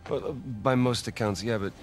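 A man's voice: mid-range voice, normal speed, soft background music, lightly acted. An actor playing a driven, confident middle-aged treasure hunter in a video game, an American man with a casual, cocky manner. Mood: intrigued. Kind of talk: cinematic video game acting.

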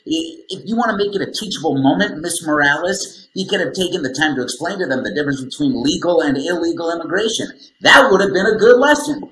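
A man's voice: fairly high pitch, lively intonation, fast talker, hardly any pauses, a bit bright. A man in his thirties playing an argumentative, indignant school parent in a scripted dramatization. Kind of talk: scripted dramatization on video call. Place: small room, video call. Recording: roomy laptop call, light noise.

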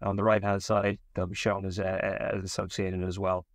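A man giving a step-by-step software tutorial as a tech support instructor. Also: sits low, completely flat delivery, normal speed, dry recording, clean studio sound.

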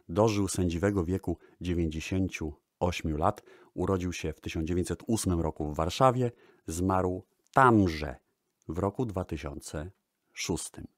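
A man from Poland hosting a music programme, introducing old records in a formal, measured, radio-style way with elegant diction. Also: low-pitched, a little mellow, dry recording, studio quality.

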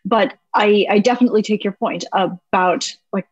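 A woman's voice: medium pitch, slightly rough, no echo, dull audio. A woman hosting a podcast interview, chatting casually and affirming her guest with an engaged manner. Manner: engaged, affirming, quick back-channel replies. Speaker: podcast host.

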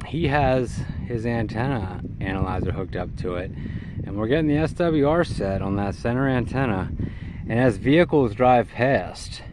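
A middle-aged man giving a casual, hands-on how-to in a halting way, recorded on a handheld camera outdoors by a vehicle. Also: medium pitch, a little mellow. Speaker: CB radio hobbyist presenter. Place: outdoors in and beside a parked vehicle in an open field. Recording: clear background noise, outdoor ambience.

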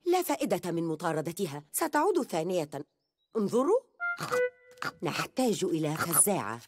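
An actress voicing a sensible elderly grandmother pig in a dubbed cartoon, calm and matter-of-fact. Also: lowish voice, normal speed, music in the background.

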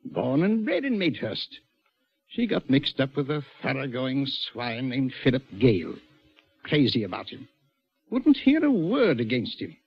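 An actor playing a troubled, defensive middle-aged prison governor, insistent and clipped, in 1940s radio style. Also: male voice, mid-range voice, wildly swooping pitch, a little slow, gravelly, slightly rough, heavily acted. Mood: grave, recounting. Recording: dull old 1940s radio recording.

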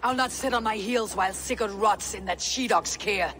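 A woman's voice: medium pitch, moderate pace, fairly bright tone, a little hiss or hum, close to an everyday voice. An actress voicing a young, brash and determined female Viking warrior leader, blunt and resolute, in a video game. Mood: impatient. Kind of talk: video game cutscene acting.

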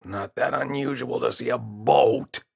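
A man voicing a gruff, dismissive father in a children's storybook, gravelly, grunting and scornful. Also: mid-range voice, steady tone, measured pace, darkish tone, heavily acted. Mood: dismissive, grumbling.

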